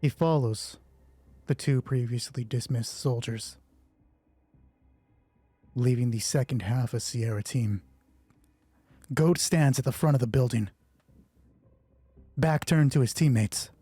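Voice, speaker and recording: A man narrating a solo tabletop roleplay as host, moving between measured storytelling and casual asides. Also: mid-range voice, dark tone, slightly rough.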